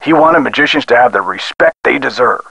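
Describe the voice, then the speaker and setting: An actor playing a friendly, folksy theater caretaker in a video game, chatty and a little hesitant. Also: male voice, medium pitch, animated, fairly quick, vocal fry, pushed and bright, breathy edge, dry recording, full character voice, poor audio. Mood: helpful.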